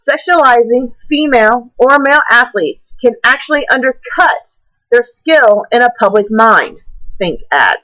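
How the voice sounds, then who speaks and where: A woman reading a prepared essay aloud in a deliberate, measured way, now and then stumbling over a word. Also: on the high side, fairly bright tone, poor audio.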